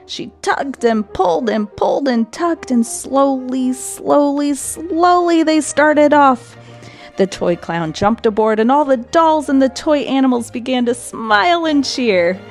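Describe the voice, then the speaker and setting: A woman narrating a children's audiobook in an expressive storytelling style. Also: sits high.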